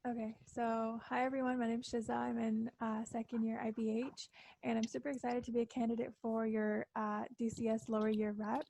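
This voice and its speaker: A woman's voice: on the high side, level tone, a little fast, creaky, low-quality recording. A young woman, a student candidate, giving an upbeat, chatty campaign speech in a debate. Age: young adult. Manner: chatty, upbeat, self-described loud voice.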